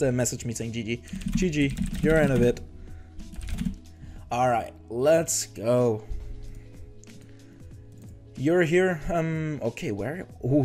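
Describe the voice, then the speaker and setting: Young Danish man, non-native English, mellow easygoing voice, excitable chatty game commentary over faint background music. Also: mid-range voice. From Denmark. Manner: excitable, chatty, trails off and restarts. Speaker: gaming YouTuber. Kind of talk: casual gameplay commentary.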